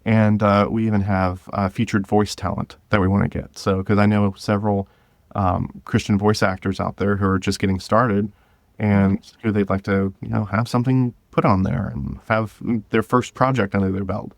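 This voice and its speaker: Male guest for a casual interview, low voice, dry close sound, earnest and encouraging. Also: flat delivery, moderate pace, creaky, no echo. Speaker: podcast guest, audio drama creator.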